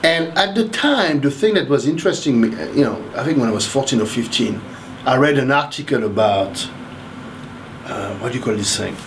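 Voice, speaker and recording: This older man from Haiti talks in a loose, rambling way, circling back and restarting his sentences. His pitch sits at a middling level. Faint noise hums behind him. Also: lively intonation, fairly quick, rough recording. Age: 60s. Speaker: interviewee, math professor. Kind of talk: casual interview.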